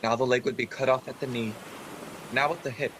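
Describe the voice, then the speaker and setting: A man playing an earnest storyteller who recalls a boyhood adventure, narrating a radio play in a measured, literary way. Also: medium pitch, fairly even intonation, fairly bright tone, slight background noise, natural voice. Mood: reflective storytelling.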